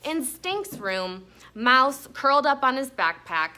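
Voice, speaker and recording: Bright, animated woman teacher reading aloud. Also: sits high, slow. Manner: expressive, explanatory. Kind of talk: children's read-aloud lesson with questions.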